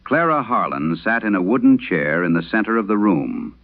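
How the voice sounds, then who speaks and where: A man playing an aging, haunted priest, telling a solemn horror radio monologue with measured, brooding, confessional delivery. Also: on the low side, expressive, narrow, tinny audio, close to an everyday voice. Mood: grave, haunted.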